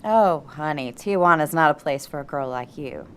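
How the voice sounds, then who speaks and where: A woman's voice: low-pitched, expressive, moderate pace, fairly bright tone, a little hiss or hum. An actress playing a sweetly motherly pregnant wife, coaxing and full of endearments, in a naturalistic audio drama. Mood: sweet, welcoming. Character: sweetly motherly pregnant wife, solicitous toward a teenage girl.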